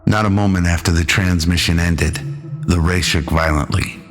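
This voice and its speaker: A man narrating a scripted sci-fi radio drama in an old-school, measured storytelling manner. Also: sits low, fairly even intonation, over music.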